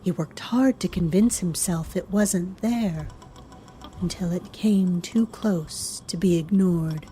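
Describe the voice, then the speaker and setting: A woman reading a scripted horror story as narrator, in a measured, ominous way. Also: on the low side, dark tone, slight background noise.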